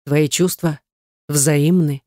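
Woman reading as a low, grave, commanding man. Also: fairly even intonation, normal speed, darkish tone, no echo, studio quality, natural voice. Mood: solemn. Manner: serious, muted, commanding. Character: stern, commanding man in love, grave and persuasive.

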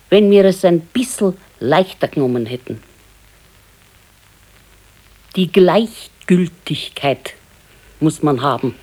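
An actress playing a resigned, wistful elderly wife with a Bavarian accent in a naturalistic radio play, speaking plainly and haltingly. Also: female voice, lowish voice, dark tone. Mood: matter-of-fact, regretful. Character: wistful, resigned old pensioner's wife, thrifty.

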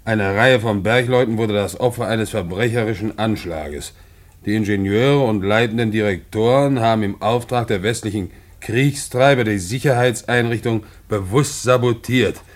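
Actor as low-voiced snooping, gossipy husband. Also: male voice, moderate pace, close to an everyday voice. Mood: reading pointedly. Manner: colloquial, insinuating. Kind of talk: 1950s-set German radio play acting.

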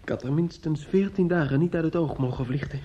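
An actor plays a bitter, grieving nephew in a radio drama, with a slightly high, husky voice. He taunts in halting, insistent repetitions, sneering and menacing. The old recording sounds dull. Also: warm tone, close to an everyday voice. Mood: bitter mockery. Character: bitter, menacing, grief-stricken nephew taunting his aunt. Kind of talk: Dutch radio play acting.

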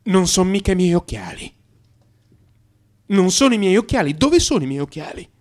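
Sleepless, anxious office worker in therapy. Radio drama monologue, agitated, very animated intonation. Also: male voice, high-pitched. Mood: anguished, resentful. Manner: agitated, halting, self-justifying. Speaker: actor.

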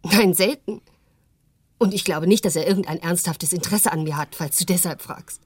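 An actress playing a gentle woman who speaks softly and caringly with a husky voice in a radio play. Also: fairly low pitch, normal speed, no room sound. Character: lover of the husband, woman, gentle.

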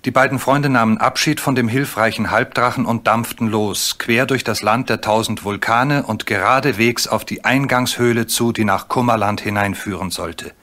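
A man narrating a children's story from a script, with measured, dramatically drawn-out phrasing. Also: medium pitch, fairly bright tone. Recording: clearly noisy old recording.

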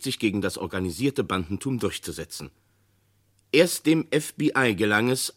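A male narrator of a vintage radio play with a slightly low voice. He speaks gravely and evenly, setting out each line with care. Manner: grave, measured. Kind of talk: scripted narration.

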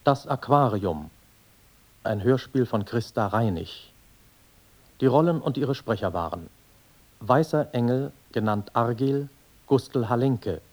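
A man announcing scripted radio play credits in a formal, measured, deliberate manner. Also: medium pitch, lively intonation, darkish tone.

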